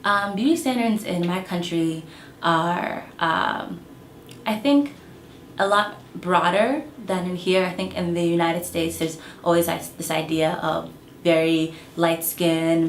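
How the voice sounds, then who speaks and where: A young adult woman from Kenya giving thoughtful, measured interview answers with frequent pauses and fillers. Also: on the low side, fairly even intonation, roomy sound, a little hiss or hum.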